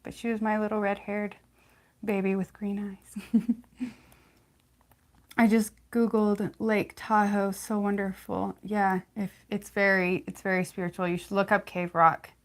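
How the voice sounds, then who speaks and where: A young woman with a slight lisp, chatting casually on a livestream, halting often with fillers and restarts. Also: medium pitch, flat delivery. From California, United States. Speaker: livestream host.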